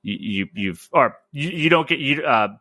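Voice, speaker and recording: Male podcast host, mid-pitched and very animated, emphatic and opinionated in casual chat, dry close-mic sound. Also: normal speed, no room sound. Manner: emphatic, opinionated, repeats and restarts phrases. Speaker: podcast host, comic store owner.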